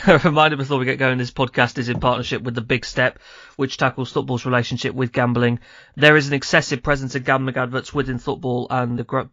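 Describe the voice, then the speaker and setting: A man hosts a casual football podcast, speaking quickly and briskly with a touch of croakiness. The sound is dull and dry, like a remote call recording. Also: mid-range voice, fairly bright tone, no echo. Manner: brisk, upbeat presenter delivery. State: slightly croaky voice. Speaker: podcast host. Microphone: remote call microphone. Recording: muffled dry call audio.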